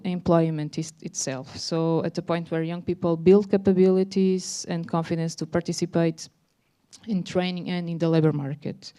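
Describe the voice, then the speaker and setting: A woman giving a formal academic presentation as a researcher at a conference, in a hesitant, halting manner. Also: on the low side, dark tone, clean tone.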